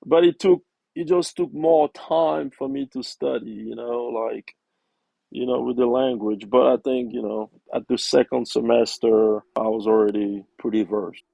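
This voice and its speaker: Man, from Cameroon, French first language, very gravelly, dry close sound. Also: medium pitch, unhurried, dry recording, highs cut off. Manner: relaxed, frequent fillers and restarts. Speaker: guest. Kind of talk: casual interview conversation.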